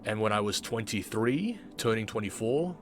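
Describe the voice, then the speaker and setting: A man narrating a reflective vlog in a deliberate way, with dramatic pauses and emphatic repetition. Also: on the low side, lively intonation, music in the background. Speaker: video creator, former amateur voice actor.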